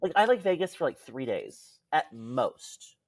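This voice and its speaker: A woman's voice: rumbling low, very animated, measured pace, no echo. A young adult American woman co-hosting a podcast, telling casual anecdotes expressively and acting out quoted dialogue with some exasperation. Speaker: podcast co-host. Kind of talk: casual chat, storytelling.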